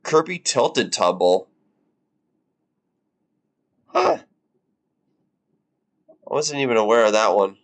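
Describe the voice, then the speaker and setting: A man's voice: fairly low pitch, big pitch swings, slow, long pauses. A man in his twenties on a live stream, reacting casually and rambling with lots of fillers and the odd swear word. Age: 20s.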